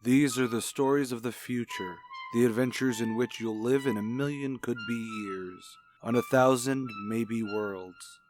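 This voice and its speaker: A man announcing a retro radio anthology in a portentous, measured, dramatic style. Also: medium pitch, music in the background. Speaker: show announcer.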